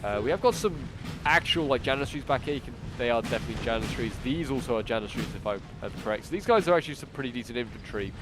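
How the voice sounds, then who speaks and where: A man's voice: mid-range voice, animated, racing, noisy background. Congested man commentating a game battle. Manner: chatty, thinking aloud with frequent fillers. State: congested with a cold. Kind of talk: casual gameplay commentary. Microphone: new desktop microphone. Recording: game battle sounds behind. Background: gunfire and artillery from the game.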